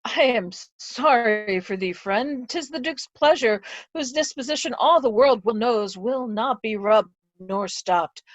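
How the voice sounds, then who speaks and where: A woman's voice: fairly high pitch, expressive, measured pace, no room sound, lo-fi sound. A woman playing a kindly, troubled old earl in a Shakespeare stage read-through. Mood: sympathetic.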